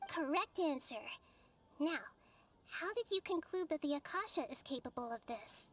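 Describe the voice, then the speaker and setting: A woman voicing a gentle, wise young girl goddess in a video game, calm and soft-spoken. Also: high-pitched, normal speed, a little hiss or hum.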